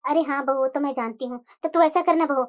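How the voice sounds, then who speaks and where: A woman voicing an older mother-in-law who speaks gently and soothingly to her daughter-in-law. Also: high voice, fairly even intonation, fairly quick, pitched below the performer's own voice, close to an everyday voice. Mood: coaxing.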